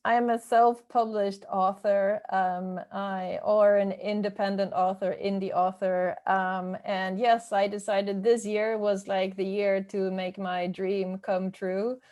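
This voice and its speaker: A woman's voice: medium pitch, flat delivery, slow pace, hardly any pauses, darkish tone, muffled recording. Brief: woman with Swedish as her first language, friendly webinar guest, over a video call. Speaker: webinar speaker, self-published author. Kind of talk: casual webinar talk.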